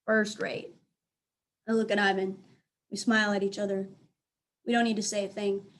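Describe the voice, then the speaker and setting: A woman reading a children's book aloud as a teacher, in an expressive storytelling style. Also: medium pitch, fairly quick.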